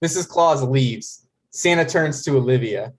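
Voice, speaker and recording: A man's voice: mid-range voice, unhurried. A man reading stage directions as scripted narration for a table read.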